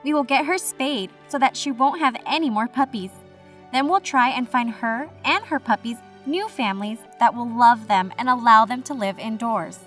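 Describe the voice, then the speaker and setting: A woman voicing a calm, kind animal control officer who explains things plainly. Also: a little high, moderate pace, soft background music, pitched above the performer's own voice, lightly acted. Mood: reassuring.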